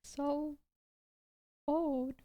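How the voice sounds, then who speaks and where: A woman's voice: high voice, little pitch movement, extremely slow, mellow. A woman in her twenties hosting a livestream, chatting casually with viewers in a rambling way full of "like". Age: 20s. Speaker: livestream host.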